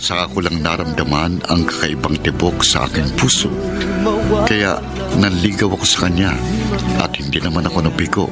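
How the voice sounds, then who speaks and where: A man's voice: deep voice, normal speed, loud music behind the voice, close to an everyday voice. An actor playing an earnest security guard who narrates his love letter in a radio drama. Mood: earnest, reflective.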